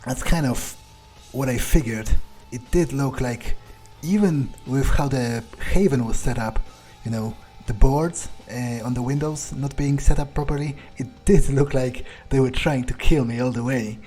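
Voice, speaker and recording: A man's voice: mid-range voice, normal speed, few pauses, warm tone, soft background music, close to an everyday voice. A man roleplaying a polite, inquisitive young fledgling vampire in a video game, speaking hesitantly and tentatively. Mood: thoughtful.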